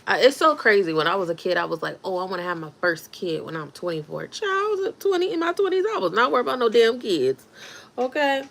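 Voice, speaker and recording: A woman's voice: mid-range voice, sing-song, fast talker, gravelly, a bit bright. A woman in her thirties chatting casually on a livestream, reacting to viewer comments as she talks. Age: 30s. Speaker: livestream host.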